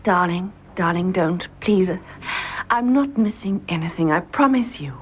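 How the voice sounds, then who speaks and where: An actress playing a young, devoted, self-deprecating wife in a British radio drama, with an endearing, emphatic British delivery. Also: female voice, fairly low pitch, animated, unhurried, breathy edge. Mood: tender, pleading. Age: young adult. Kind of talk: British stage-play radio drama acting. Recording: dull audio, faint background noise.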